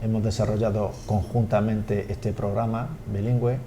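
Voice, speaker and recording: A man, a language school director interviewed on TV, speaking haltingly with repeated words and fillers. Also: lowish voice, flat delivery, warm tone, a little hiss or hum. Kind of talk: TV studio interview.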